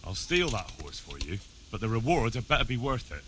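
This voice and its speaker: A man's voice: lowish voice, big pitch swings, fairly quick, a bit bright, a little hiss or hum. A man playing an earnest, plucky young refugee in a medieval video game, plain-spoken and direct. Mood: businesslike. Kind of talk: dramatic video game dialogue. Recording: music behind the voice.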